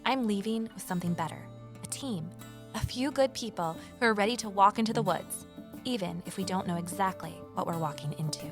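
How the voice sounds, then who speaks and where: An actress playing a determined, persuasive amateur sleuth in an audio drama, earnest in both dialogue and first-person narration. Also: female voice, mid-range voice, brisk, over music, natural voice. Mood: reflective.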